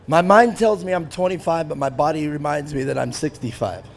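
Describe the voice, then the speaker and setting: A man's voice: fairly high pitch, a little fast, gravelly, slight background noise. A man in his fifties announcing an off-road race live from the grandstand, chatty and self-deprecating with frequent laughs. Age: 50s. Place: grandstand at an outdoor race track.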